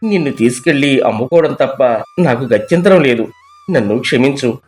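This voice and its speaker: A man's voice: mid-range voice, lively intonation, normal speed, faint music behind, close to an everyday voice. Man acting a poor, emotional fisherman. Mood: torn, apologetic. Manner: emotional, pleading, earnest. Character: poor, desperate, kind-hearted fisherman, devoted father. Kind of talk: animated moral story dialogue.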